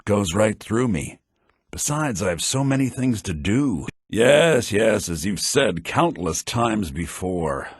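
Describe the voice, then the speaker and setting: An excitable fantasy game schemer, theatrical and fussy. His delivery swings widely in pitch and has a rough, husky edge. The audio is dry, low-bitrate game sound. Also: takes it slowly, dry recording, heavily acted. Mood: fussy, weary. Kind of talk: video game character dialogue.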